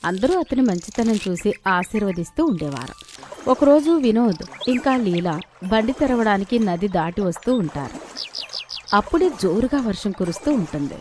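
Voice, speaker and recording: A woman's voice: mid-range voice, big pitch swings, normal speed, a little mellow, faint music behind. A woman storyteller giving scripted narration for a children's cartoon tale.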